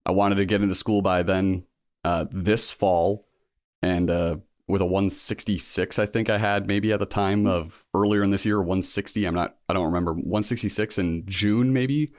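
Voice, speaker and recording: A man's voice: low-pitched, steady tone, moderate pace, no echo, studio quality. A man in his early thirties, a guest in a casual interview, talking earnestly and rambling with lots of fillers. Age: 30s. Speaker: guest, law school applicant.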